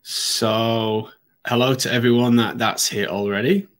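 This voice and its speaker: A man's voice: medium pitch, steady tone, unhurried, a bit bright. A man hosting a live business webinar, a bit sniffly from hay fever, talking conversationally with frequent ums and restarts. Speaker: webinar host.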